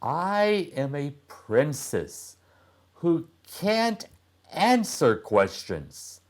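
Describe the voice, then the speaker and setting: Man as a girl princess, storybook character, mysterious, smiling, raised pitch, slow lilting delivery. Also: wildly swooping pitch, full character voice. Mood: pleasant. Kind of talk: children's storybook read-aloud.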